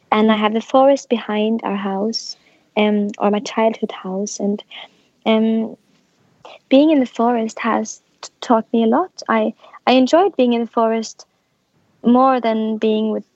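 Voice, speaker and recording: A young woman speaks in a higher, fairly even voice, musing slowly and stumbling over her words as she reflects. The sound is dry and a little muffled, like a lo-fi recording. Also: no echo. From Norway. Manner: reflective, soft-spoken, with many restarts. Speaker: musician guest. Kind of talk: casual interview.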